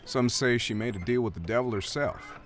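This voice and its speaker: A man's voice: mid-range voice, a little fast, faint music behind, natural voice. A man playing a gossipy, placating saloon bartender in an Old West audio drama, folksy. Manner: folksy, animated.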